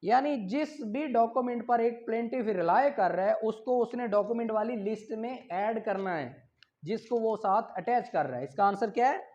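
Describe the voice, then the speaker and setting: A man with a Pakistani accent teaching an online law class, reading English questions slowly, explaining them in Urdu and calling on students by name. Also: high voice, a little mellow, highs cut off. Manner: calls on students by name, brisk and encouraging.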